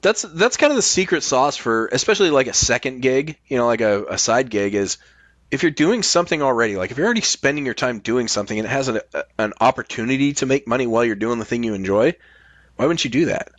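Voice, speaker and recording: A middle-aged man talks casually as a guest on a podcast. His voice sits at an average pitch and is recorded very dry, and he speaks bluntly with plenty of swearing. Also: expressive, fast talker, a bit bright, no echo. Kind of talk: casual chat.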